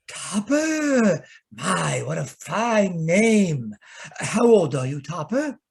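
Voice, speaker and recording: A man's voice: high voice, very animated, extremely slow, creaky, husky, exaggerated voice. A man playing a kindly, gushing, fussy Santa's helper in a radio drama. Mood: jolly, doting. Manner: effusive, fussy. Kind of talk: old-time radio drama acting.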